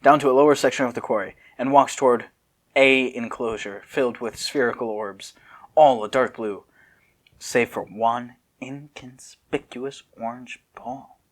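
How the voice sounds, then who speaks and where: A man's voice: medium pitch, a little slow. A man narrating a story in an amateur read-aloud style, with casual joking asides.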